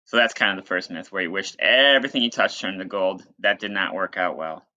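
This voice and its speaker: Guy teacher doing a read aloud, bright punchy voice, informal and explainy, kinda muffled lo-fi audio. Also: sits low, brisk. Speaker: teacher reading aloud to pupils. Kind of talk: read-aloud storytelling with plain explanatory asides. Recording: dull, lo-fi sound.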